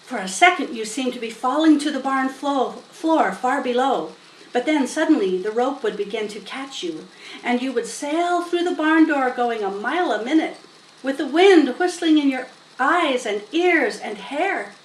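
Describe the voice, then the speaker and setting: A woman reading a children's story aloud in an expressive, unhurried way with dramatic emphasis. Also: high voice. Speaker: storybook reader. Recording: roomy, distant mic with faint noise.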